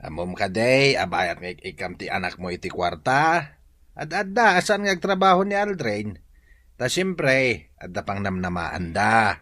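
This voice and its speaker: A man's voice: lowish voice, no echo, studio quality. A man playing a gossiping, complaining village neighbour in a comedy drama, with an Ilocano Filipino accent and exclamatory delivery. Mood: complaining, gossipy. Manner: animated, exclamatory, drawn-out interjections.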